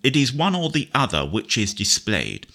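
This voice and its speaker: A man presenting a casual software tutorial in a conversational, explanatory way. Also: medium pitch, wildly swooping pitch, normal speed, creaky. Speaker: presenter demonstrating screen reader software.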